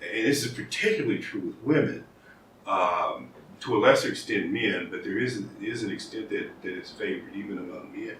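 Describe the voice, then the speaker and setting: American man, law professor in an interview, lower voice, thoughtful, restarts often, roomy echo, set back from the mic, faint room hiss. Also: normal speed, a bit bright. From United States. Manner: thoughtful, with frequent restarts and repeated words. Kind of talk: conversational interview. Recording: echoey room, mic at a distance.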